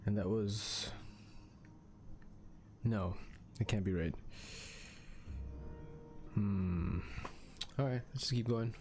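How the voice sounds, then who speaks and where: A man's voice: lowish voice, drawn-out words, pauses often, a little mellow, faint music behind. A man doing casual let's play commentary as a game streamer, thinking aloud in a hesitant way.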